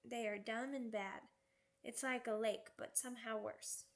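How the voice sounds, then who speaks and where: A woman's voice: a little high, fairly even intonation, measured pace. A woman playing a young werewolf pup in an ASMR roleplay, childlike, cheeky and earnest, with pouty, rambling speech. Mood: grumpy, pouty. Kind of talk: ASMR roleplay audio drama.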